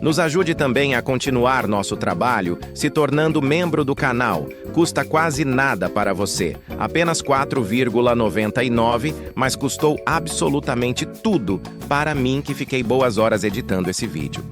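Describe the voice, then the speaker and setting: A man's voice: medium pitch, expressive, moderate pace, hardly any pauses. A man with a Brazilian Portuguese accent reading a light, self-deprecating scripted outro that asks viewers to subscribe. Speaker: channel editor outro. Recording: voice over loud music.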